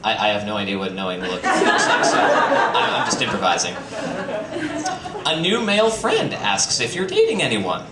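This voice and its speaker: A man's voice: fairly low pitch, huge pitch swings, moderate pace, far from the mic, a loud crowd. A man doing a comedic live reading for an audience, theatrical, with dry joking asides. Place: bistro live show with audience. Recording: echoey, noisy live stream from off mic.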